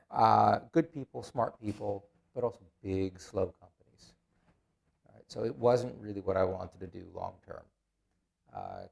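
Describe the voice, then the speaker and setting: A middle-aged man with an Israeli accent talks in a low, gravelly voice. He tells his story in a relaxed interview, with dry, self-deprecating asides. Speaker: guest. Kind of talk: casual interview.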